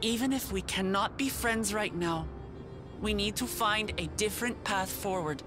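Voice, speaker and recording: An actress playing an earnest, resolute young female ruler in a video game, firm and measured. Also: mid-range voice, music in the background, close to an everyday voice. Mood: solemn resolve. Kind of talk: dramatic video game acting.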